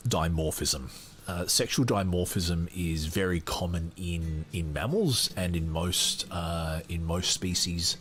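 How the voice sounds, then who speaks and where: Deep-voiced man riffing with laughter. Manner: animated, laughs often, many fillers and restarts. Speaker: podcast host. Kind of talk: reading a book aloud with commentary.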